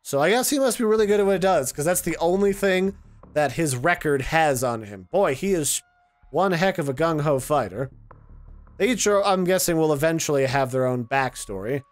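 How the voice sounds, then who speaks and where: A man's voice: a little high, animated, normal speed, dry recording. A man giving casual, chatty and opinionated commentary as the host of a game preview. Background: music.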